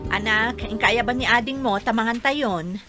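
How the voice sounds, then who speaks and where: A woman's voice: a little high, moderate pace, music in the background, natural voice. An actress playing a suspicious, neglected wife and mother in a radio drama, with a plaintive, pressing delivery. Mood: reproachful.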